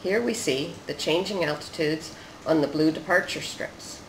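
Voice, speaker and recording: Measured narrator explaining a research demo, roomy echo, bit of noise behind. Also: medium pitch.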